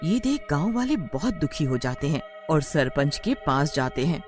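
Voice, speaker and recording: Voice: medium pitch, sing-song, moderate pace. A narrator reading a scripted horror story in the manner of a storyteller. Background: background music.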